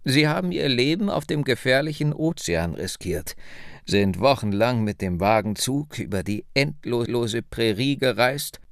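A man voicing a hundred-year-old woman in an audiobook, wry, insistent and reflective, speaking deliberately and with emphasis. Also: mid-range voice, animated, gravelly, no echo, studio quality. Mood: earnest storytelling.

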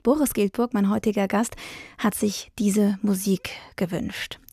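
A woman hosts a radio music programme in a mellow, warm voice. The studio sound is close and dry. She introduces pieces and asks her guest friendly questions. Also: mid-range voice, steady tone, unhurried, husky, no echo. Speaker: radio host. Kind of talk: radio interview and music presentation.

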